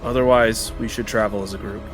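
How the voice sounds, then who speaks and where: A man with a fairly low voice talks casually as a player in a tabletop game. His manner is wry, sliding between mock-formal phrasing and blunt swearing. Faint music sits behind the voice. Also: fairly even intonation, a little fast.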